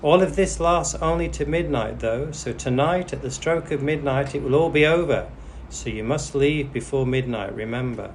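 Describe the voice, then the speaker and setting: A man voicing a helpful fairy godmother in a storybook, brisk and instructive. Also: mid-range voice, close to an everyday voice. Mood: warning. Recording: clearly noisy background.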